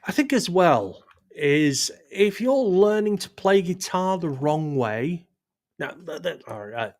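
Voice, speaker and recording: A man's voice: on the high side, big pitch swings, slow pace, a little mellow. A man hosting a live stream with a sore throat, chatting loosely with his viewers in a rambling, self-deprecating way and stumbling over his words. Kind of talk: casual chat reading live chat.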